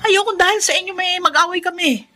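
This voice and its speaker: An actress playing a callous, sharp-tongued middle-aged mother in a Filipino radio drama, scolding and dismissive. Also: extremely high pitch, fast talker, soft background music. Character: callous, sharp-tongued mother who spurns her children.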